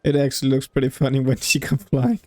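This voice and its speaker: A woman's voice: very deep voice, flat delivery, fast talker, darkish tone, no echo. A woman giving casual commentary as she plays a game, with game music behind her. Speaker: streamer playing a game.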